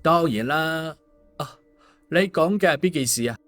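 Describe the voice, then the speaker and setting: A man's voice: a little high, animated, normal speed, a bit bright, soft background music. A man voicing a glib, cheeky male schemer in an audiobook, teasing and mock-sincere with exclamations. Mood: persuading, gossipy. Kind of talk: audiobook dialogue.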